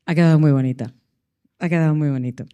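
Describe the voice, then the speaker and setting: A woman's voice: low-pitched, fast, mellow, clear, resonant tone, dull audio. A woman from casting and production, speaking on a panel in an enthusiastic way. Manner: enthusiastic, repeating praise. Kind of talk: panel discussion.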